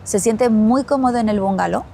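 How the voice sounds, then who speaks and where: A woman with an Andalusian Spanish accent, a company chief answering interview questions in a measured way, pausing and repeating as she thinks. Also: fairly high pitch, gravelly, dark tone, slight background noise. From Spain. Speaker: glamping company CEO, guest.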